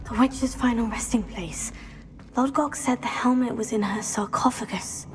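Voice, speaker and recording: An actress voicing a young witch student as the player character in a video game, with a calm, measured delivery. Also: on the high side, close to an everyday voice. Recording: game audio with music behind.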